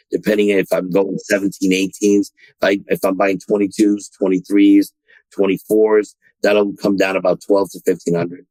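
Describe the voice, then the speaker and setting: A man with a low voice talks shop as a podcast guest, doubling back and restarting his sentences. He sounds close and dry, with no room around him. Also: a little mellow, dry recording, poor audio. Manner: many restarts and repeated words, talks in quick bursts. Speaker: dealership general manager, guest. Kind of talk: podcast interview.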